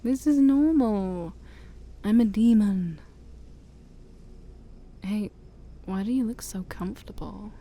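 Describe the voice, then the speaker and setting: A woman playing a shy, scheming demon princess of a dark forest in an ASMR roleplay, soft, breathy and mostly whispered, with coaxing, formal speech and playful asides. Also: mid-range voice, slow pace, long pauses. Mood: flustered. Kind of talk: ASMR roleplay addressed to the listener.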